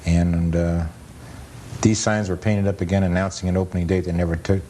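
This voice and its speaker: A deep-voiced American man with a soft, dark tone talks as an interview guest. He explains things casually and matter-of-factly. A slightly noisy 1980s cable TV recording carries the voice. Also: flat delivery, fairly quick. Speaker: interview guest, photo historian and author.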